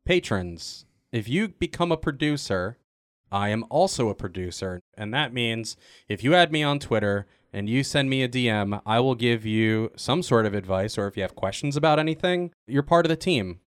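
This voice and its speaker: A man's voice: mid-range voice, lively intonation, moderate pace, smooth, no echo, studio quality. A man who works as a producer, chatting informally and jokingly as part of a podcast panel. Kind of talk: casual banter.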